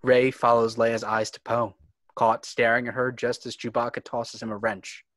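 A man's voice: medium pitch, level tone, normal speed, a bit bright, dry recording. A man reading screenplay stage directions as dramatic scripted narration.

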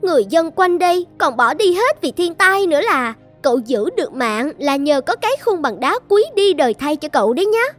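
A woman voicing a haughty, high-pitched iron jar. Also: very animated, brisk, hardly any pauses, soft background music. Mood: callous. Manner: scornful, boastful. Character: haughty, boastful iron jar that scorns others. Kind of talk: animated fairy tale dialogue.

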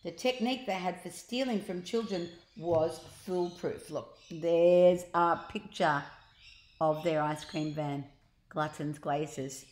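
Slow-paced older woman storyteller in roomy space. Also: lowish voice, a little mellow. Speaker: storyteller reading children's books. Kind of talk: read-aloud storytelling with asides to child listeners. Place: large open living room, indoors. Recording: roomy, echoing living room.

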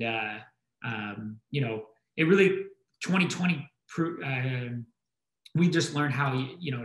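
A man's voice: mid-range voice, a bit bright. A man in his thirties answering conversationally as a business panelist, rambling and hesitant, over a video call. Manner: hesitant, filler-heavy, rambling. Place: indoor room at home or office, on a video call. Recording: roomy, echoey video call.

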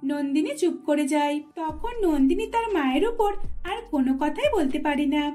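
A woman's voice: high voice, animated, brisk, mellow, faint music behind. A woman narrating a scripted cartoon story.

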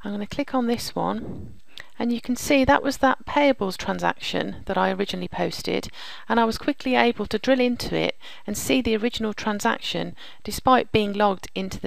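A woman presents a software walkthrough in a strongly creaky voice at a middling pitch. She explains each step in an orderly, instructional way. The recording is dry and close, like a screen-capture voice-over. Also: fairly quick, dry recording, rough recording. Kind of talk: scripted software demo narration.

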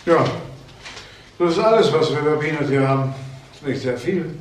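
An actor playing a matter-of-fact prison official in a crime drama, businesslike and obliging. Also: male voice, on the high side, moderate pace, lightly acted. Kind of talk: German TV crime drama acting. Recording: roomy echo, faint noise.

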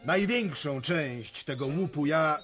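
An actor playing an earnest, fatalistic young prince in a radio drama. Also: male voice, fairly high pitch, lively intonation, slow, over music. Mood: grave, bitter.

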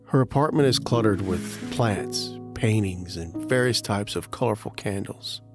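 A man's voice: on the low side, unhurried. A man narrating an audio drama from a script, describing scenes. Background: instrumental music.

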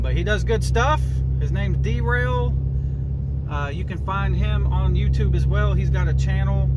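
A man in his thirties chatting casually and informally as a vlog host, in a folksy way. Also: high voice. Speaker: host of a truck racing channel. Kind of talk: casual vlog chat and CB radio talk. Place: inside a pickup truck on the highway. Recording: dull sound with loud vehicle noise. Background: car and traffic noise.

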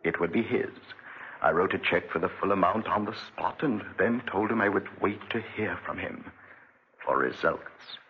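An actor playing a cruel, obsessive, vengeful wealthy gentleman in a 1940s radio drama, with an imperious, theatrical delivery. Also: sits low, normal speed, slight background noise. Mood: brooding narration. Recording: muffled vintage 1940s radio recording.

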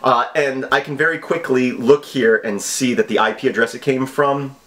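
A man's voice: mid-range voice, moderate pace, bright, forceful tone. A man in his thirties giving casual, offhand vlog commentary with a wry manner. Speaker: video host. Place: small home office.

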